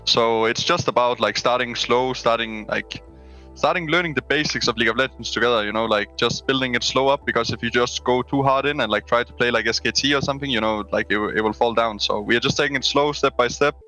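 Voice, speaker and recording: Young man with a bright voice on a headset. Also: mid-range voice, fast talker, faint music behind, low-quality recording. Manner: relaxed, filler-heavy, frank. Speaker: esports player. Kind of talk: post-match interview. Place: small room at home. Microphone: headset on a webcam call.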